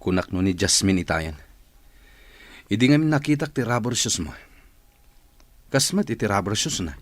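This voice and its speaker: A man's voice: on the low side, very animated, normal speed, no room sound. A man playing a demanding, fussy office boss with a Filipino accent in a radio drama. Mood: testy, distracted.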